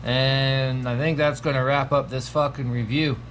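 Slow-talking swearing man reviewing horror. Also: mid-range voice, little pitch movement, slight background noise. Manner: laid-back, swears constantly, frequent ums. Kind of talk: casual profane video review. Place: small home room at a desk.

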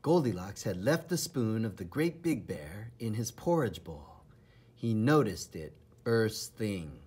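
Man, children's read-aloud, dramatic, enthusiastic, unhurried, lively intonation, husky. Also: fairly low pitch. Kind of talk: expressive children's storybook narration.

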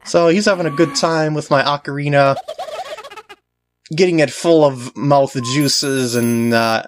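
A man's voice: fairly high pitch, steady tone, unhurried, slight background noise. A man giving casual, jokey, rambling commentary as he plays through a video game. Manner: jokey, rambling, lapses into silly voices for characters. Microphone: voice recorded on a compact camera's microphone. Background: video game music.

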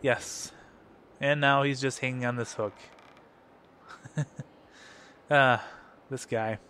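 A man with a slight cold records casual let's play commentary. He talks slowly, drifting through ums and restarts at an ordinary middle pitch. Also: slight background noise. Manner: relaxed, hesitant, lots of ums. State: has a cold.

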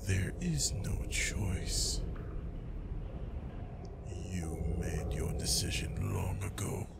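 A man playing a menacing, grieving vampire lord in an ASMR roleplay, half-whispering in a breathy, deliberate voice. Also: low-pitched, heavily acted. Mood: cold menace. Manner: slow, deliberate, threatening. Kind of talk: improvised ASMR roleplay, whispered, addressed to the listener. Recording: loud steady background ambience noise.

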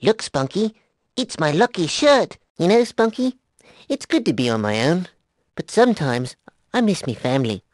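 A man voicing a timid, good-natured young cartoon wallaby with an Australian accent and an earnest, excitable manner. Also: a little high, normal speed, no room sound, clean studio sound. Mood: cheerful. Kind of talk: cartoon voice acting, isolated clips.

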